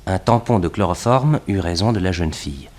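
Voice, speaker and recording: An actor playing a young, streetwise detective's assistant who narrates an early sixties radio adventure in a matter-of-fact way. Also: male voice, deep voice, expressive, a little fast, a little mellow. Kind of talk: early 1960s radio drama narration and dialogue. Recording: old radio production with music behind.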